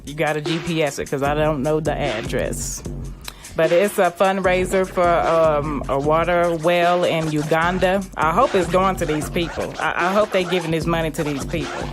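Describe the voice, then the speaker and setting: A woman comedian guest in a studio chat, with a deep, strong voice and a blunt, joking way of talking. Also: moderate pace, over music.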